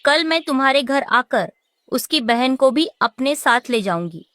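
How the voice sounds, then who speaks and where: A woman's voice: sits high, lively intonation, a little slow, a bit bright, no echo. A woman voicing a caring, protective mother bird in a children's cartoon. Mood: firm. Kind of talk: animated children's story acting.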